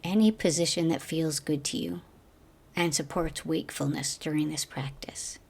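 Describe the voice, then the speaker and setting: A woman guiding a meditation as a teacher, speaking gently in slow, measured phrases that trail off softly. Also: deep voice, fairly even intonation, gravelly, breathy edge. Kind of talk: guided meditation narration.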